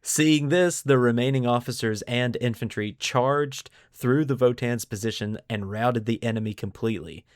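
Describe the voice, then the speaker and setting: A man's voice: medium pitch, moderate pace, few pauses, no room sound, studio quality. A man hosting a podcast, mixing scripted lore narration with casual commentary and putting dramatic emphasis on key words. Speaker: podcast host.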